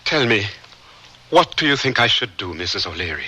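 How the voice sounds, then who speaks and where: A man's voice: lowish voice, big pitch swings, moderate pace, pushed and bright, a little hiss or hum. An actor playing an earnest, lovestruck young African frontiersman, sincere and a little hesitant, in a radio drama. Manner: earnest, storytelling, hesitant at times. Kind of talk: radio drama narration and acting.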